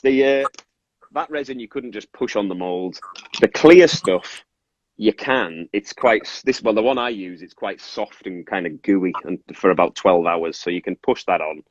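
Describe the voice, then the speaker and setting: A man's voice: lowish voice, expressive, moderate pace. A man from northern England with a Cumbrian accent touched by Scottish, casually explaining his craft in a rambling, self-interrupting way. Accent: Cumbrian northern English with Scottish influence. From Carlisle, Cumbria, northern England. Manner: rambling, self-interrupting, apologetic about tangents. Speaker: toy maker and resin artist, guest. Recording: muffled, echoey room sound.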